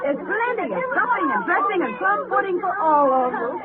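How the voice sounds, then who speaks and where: High, animated, fussing mother. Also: a little fast, a loud crowd. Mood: bustling. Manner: effusive, bustling, theatrical. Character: loving, fussing, sharp-tongued poor mother. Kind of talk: 1930s radio drama acting. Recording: tinny, hissing 1930s radio recording.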